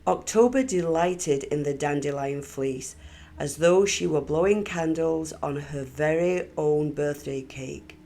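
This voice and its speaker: Low-pitched, unhurried woman reading a storybook, slightly creaky. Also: dark tone. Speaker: storybook reader. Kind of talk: expressive children's story narration.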